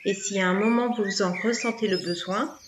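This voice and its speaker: Female meditation guide, medium pitch, calm measured instructions with pauses, reverberant room with noticeable background noise. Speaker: yoga nidra instructor.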